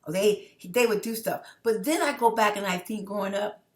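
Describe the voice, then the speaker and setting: A woman's voice: mid-range voice, moderate pace. A middle-aged woman from New York City, talking straight to her viewers in a rambling personal monologue. She restarts her sentences a lot and keeps checking in with "okay?". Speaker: vlogger.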